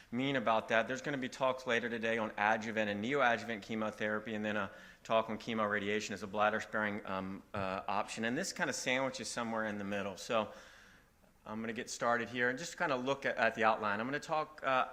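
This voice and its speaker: A man from Virginia giving a medical conference talk in a relaxed, folksy way with frequent fillers. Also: fairly low pitch. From Virginia, southeastern United States. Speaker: conference speaker.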